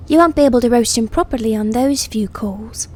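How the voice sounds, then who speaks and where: Sardonic female apparition, played by a woman narrator, goading and mocking, higher pitch, audiobook drama. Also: fairly quick, close to an everyday voice. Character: taunting, mocking female hallucination of a companion.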